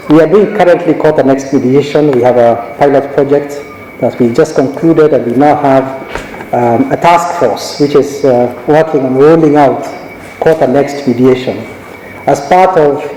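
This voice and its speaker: A middle-aged man from Kenya gives a measured conference talk, telling stories and often restarting his sentences. His voice is fairly high and mellow. It carries through a large hall with a long echo and faint background ambience. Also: slight background noise. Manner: measured, anecdotal, with frequent restarts. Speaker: judge giving a conference talk. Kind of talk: conference speech. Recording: echoey hall recording.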